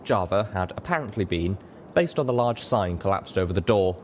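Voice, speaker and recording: A man with a low voice delivers scripted story narration. His reading is lively and dramatic, and there is clear noise in the recording. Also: a little fast. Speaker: audiobook narrator.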